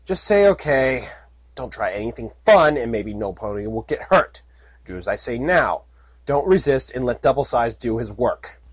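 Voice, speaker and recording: Reader voicing a gruff, commanding stallion guard for an audiobook; dry, close sound. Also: medium pitch, very animated, moderate pace, no room sound. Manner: barking, commanding. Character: stern male guard pony with a rifle.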